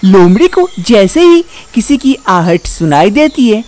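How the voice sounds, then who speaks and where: A woman's voice: mid-range voice, sing-song, a little slow, a little mellow. A woman narrating a scripted animal fable for children as a storyteller.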